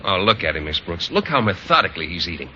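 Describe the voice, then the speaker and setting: An actor playing a shy, earnest bachelor biology teacher in an old radio sitcom, naive and a little hesitant. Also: male voice, low voice, big pitch swings, fast, sharp tone, heavily acted. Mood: fond, enthusiastic. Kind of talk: 1940s-50s radio sitcom acting. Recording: muffled vintage radio, light noise.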